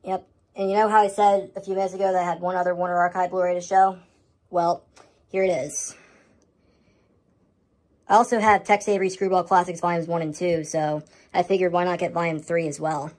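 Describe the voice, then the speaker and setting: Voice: mid-range voice, level tone, fairly quick, darkish tone. An American speaker talking casually to a handheld camera indoors at home, showing items in a vlog update. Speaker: host of a home media collection update. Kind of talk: casual show-and-tell vlog.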